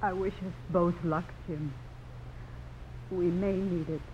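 A muffled old radio play under heavy noise. a proud, yearning young belle, speaking slowly with sorrowful melodrama. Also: female voice, on the low side, fairly even intonation. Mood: sorrowful, pleading. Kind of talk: 1950s American radio drama acting.

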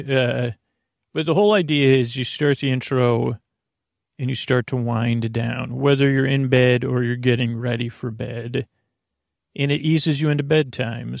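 A man with a gravelly, creaky voice at a middling pitch, recorded close and dry. He rambles as a bedtime podcast host, wandering off topic, halting and starting his sentences over. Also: darkish tone, no echo. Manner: meandering and halting, trails off and restarts sentences.

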